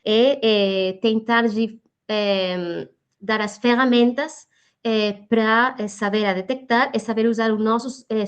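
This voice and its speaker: A woman with a Spanish accent, Spanish as her first language, presenting a workshop talk as a guest media educator, pausing often with fillers as she searches for words in Portuguese. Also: mid-range voice, clean tone.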